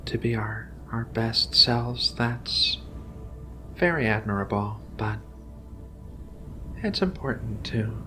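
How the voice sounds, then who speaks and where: A man speaking softly and reassuringly in an ASMR roleplay as a gentle, philosophical alien researcher. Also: mid-range voice, lively intonation, soft background music. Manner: soothing, unhurried, reassuring. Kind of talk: soft-spoken ASMR roleplay.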